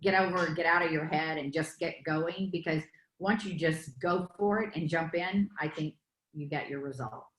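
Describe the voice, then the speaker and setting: American lady cohosting, lowish voice, cheering people on, kinda echoey room. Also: normal speed. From United States. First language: English. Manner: supportive, emphatic. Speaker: panel co-host. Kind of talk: casual encouraging panel talk. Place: indoor room on a group video call. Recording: roomy, echoing call audio.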